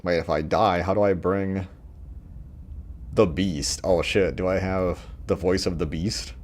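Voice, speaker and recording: Deep-voiced rambling gaming guy. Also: steady tone, measured pace. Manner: rambling, crude, full of fillers. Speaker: let's play streamer. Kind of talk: casual gameplay commentary.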